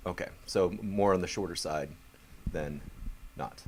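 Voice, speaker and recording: Low-voiced deadpan male talk show host. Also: husky. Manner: deadpan, halting. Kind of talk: band interview.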